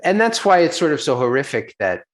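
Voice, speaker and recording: A man's voice: fairly high pitch, sing-song, brisk. A man being interviewed in a casual chat, talking earnestly, laughing easily and often saying "you know". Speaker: actor guest.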